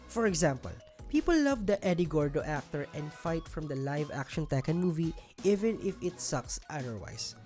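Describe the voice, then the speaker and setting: A woman's voice: on the low side, a little mellow. A woman hosting a scripted countdown video, narrating and giving commentary. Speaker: host of a video list show.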